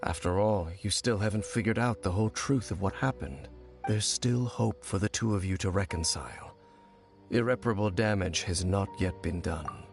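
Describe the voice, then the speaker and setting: A grave, brooding ancient warrior from a video game, with a very deep, low voice. He talks in a measured, solemn way, with faint game music behind. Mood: calm solemn. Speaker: game character.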